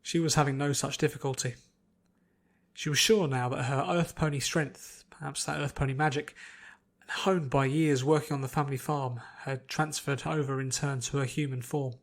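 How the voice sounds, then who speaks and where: A man's voice: medium pitch, fairly even intonation, moderate pace, creaky. Male narrator reading fantasy fiction. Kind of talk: scripted audiobook narration.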